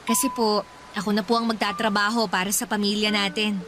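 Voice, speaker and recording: An actress playing a devoted young daughter, earnest and caring in a radio drama. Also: female voice, mid-range voice, little pitch movement, a little fast, background noise, natural voice. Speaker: voice actor.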